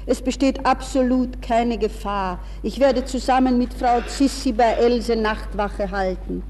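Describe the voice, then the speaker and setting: An actor playing a calm young man speaking in a measured, polite, soothing way in a 1960s radio play. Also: very high-pitched, a little hiss or hum. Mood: soothing, firm. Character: calm, reassuring young man, the aunt's son. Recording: reverberant 1960s radio recording.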